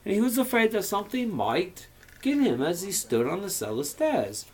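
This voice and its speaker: A man's voice: high-pitched, very animated, moderate pace, darkish tone. A man reading a story aloud informally, with a theatrical, irreverent manner and profane casual asides. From US Midwest. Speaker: amateur audiobook reader.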